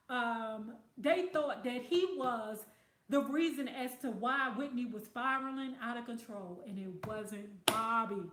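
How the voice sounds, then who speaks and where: High-voiced blunt woman, echoey room. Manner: blunt, profane, emphatic. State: losing her voice. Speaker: livestream host reading viewer comments. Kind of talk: casual livestream commentary.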